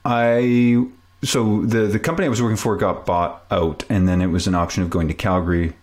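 A man in his forties from Canada with a low voice, whose native language is French. He chats informally as an interview guest, thinking things through as he goes. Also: fairly even intonation, fairly quick, darkish tone. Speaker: podcast guest. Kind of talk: casual interview chat.

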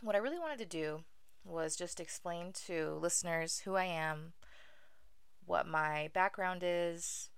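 A woman's voice: lowish voice, a little slow, vocal fry, smooth, dry recording. A young adult woman from Venezuela hosting her own podcast, talking casually in a wry, self-deprecating way with frequent ums. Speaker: podcast host. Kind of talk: casual solo podcast monologue.

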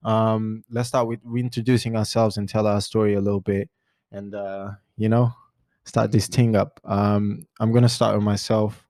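A man in his twenties from Portugal, speaking English with a Portuguese accent, hosting a relaxed podcast chat full of fillers. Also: fairly low pitch, normal speed, no room sound, clean studio sound. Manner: relaxed, rambling, many fillers. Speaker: podcast host. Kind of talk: casual chat.

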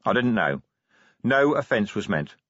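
Man voicing a weary watch commander, sardonic, husky, dry, dull lo-fi sound. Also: on the low side, very animated, a little slow, a bit bright, dry recording, full character voice. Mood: wary. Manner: dry, wearily sarcastic. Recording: dry, slightly dull recording.

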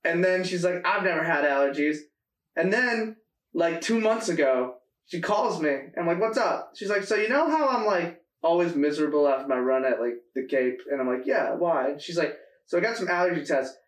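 A young man with a fairly high, lively voice tells animated stories and laughs often while chatting on a podcast. He sits back from the mic, and the room rings with echo. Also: moderate pace, far from the mic. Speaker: podcast co-host. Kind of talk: casual chat. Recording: echoey room, away from mic.

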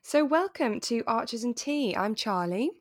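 A British woman co-hosting a podcast in a relaxed, chatty way, talking casually as if with a friend. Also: fairly high pitch, normal speed. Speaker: podcast co-host. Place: remote internet recording, dry room.

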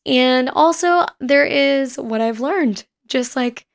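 A woman in her twenties with a raspy voice, hosting a casual podcast and rambling quickly through personal stories. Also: high voice. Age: 20s. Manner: fast-talking and rambling. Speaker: podcast host. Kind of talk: casual chat. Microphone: home recording setup.

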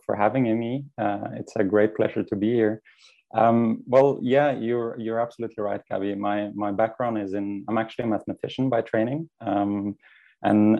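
A young man from Norway speaks English in a low, gravelly voice with a mellow, dark tone. He answers as an interview guest, measured and thoughtful, often doubling words as he gathers his thoughts. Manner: thoughtful, often repeats words while finding phrasing. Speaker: guest, startup co-founder.

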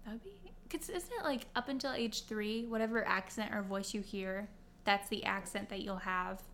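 A young adult woman from Kentucky and Ohio, co-hosting a podcast with animated, playful chat and quick laughter. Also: medium pitch, a little hiss or hum. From Kentucky and Ohio, USA. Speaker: podcast co-host. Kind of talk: casual chat.

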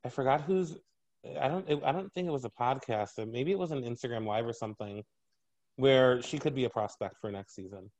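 A woman's voice: extremely low pitch, brisk, a little mellow. A woman chatting casually on a podcast, hesitant and full of fillers and restarts. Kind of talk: casual chat.

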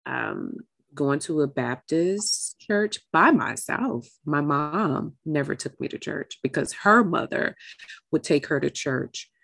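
A woman's voice: low-pitched, creaky, no echo. A woman hosting an interview show in a candid, heartfelt, familiar and affectionate conversational way.

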